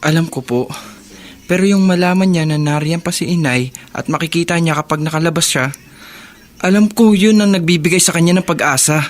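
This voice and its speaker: A man's voice: a little high, fairly quick, natural voice. A man playing a troubled, dutiful elder brother in a radio drama, earnest and polite. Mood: polite, defensive. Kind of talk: Filipino radio drama acting.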